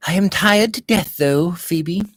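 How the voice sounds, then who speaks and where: A man's voice: sits high, a little slow, fairly bright tone, dry recording, clean studio sound, pitched above the performer's own voice. A man voicing a vain, frivolous young lady of a Victorian manor in a gushing, haughty manner. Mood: weary, coy. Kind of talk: Victorian novel read aloud.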